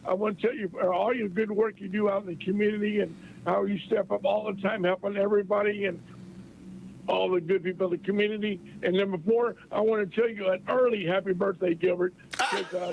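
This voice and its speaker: A man's voice: high voice, gravelly, slight background noise. A man calling in to a radio show, upbeat, counting off his points one by one. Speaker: caller. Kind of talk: call-in radio talk. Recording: dull lo-fi phone-in line.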